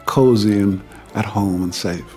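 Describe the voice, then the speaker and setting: A man talking as a guest in a relaxed conversation, hesitant and full of restarts. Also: fairly low pitch, measured pace, soft background music. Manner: hesitant, many restarts and fillers. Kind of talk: casual chat.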